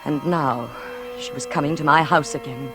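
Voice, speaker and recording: Old-time radio suspense: actress as secretive, isolated woman, deep register, fearful yet chilly, evasive, menacing undertone, faint music under. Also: moderate pace, natural voice. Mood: brooding, bitter. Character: brooding, secretive, lonely woman, frightened yet coldly resentful. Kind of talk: 1940s radio thriller acting, confiding narration and dialogue.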